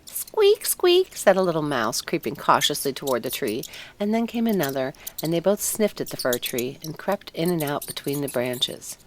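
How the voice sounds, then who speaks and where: A woman narrates a storybook in a deliberate, expressive way. Her voice is slightly low and moves widely in pitch, and the recording carries faint background noise. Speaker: audiobook narrator.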